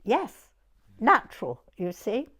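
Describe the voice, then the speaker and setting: Slow-talking elderly southern English woman reminiscing. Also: on the high side, huge pitch swings, a bit bright. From Dorset, England. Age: 90s. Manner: rambling, often breaking off and rephrasing mid-sentence. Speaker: oral history interviewee. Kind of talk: informal spoken reminiscence.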